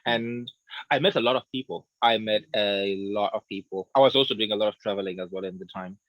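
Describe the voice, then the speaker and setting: A man in his thirties from Zimbabwe, a book author chatting as a guest in a radio interview, relaxed and quick to laugh, often repeating his words as he thinks. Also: on the low side, no echo, low-quality recording. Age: 30s.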